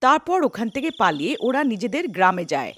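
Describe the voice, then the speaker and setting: A woman's voice: mid-range voice, sing-song, fast talker, slight background noise. A woman reading scripted narration for a cartoon folk story.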